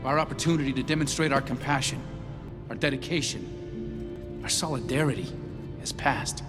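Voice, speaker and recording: An actor playing an earnest, idealistic young inventor turned city councilor in an animated drama, intense and resolute. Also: male voice, mid-range voice, moderate pace, close to an everyday voice. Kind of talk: dramatic animated series dialogue. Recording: dialogue over clear background music. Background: music.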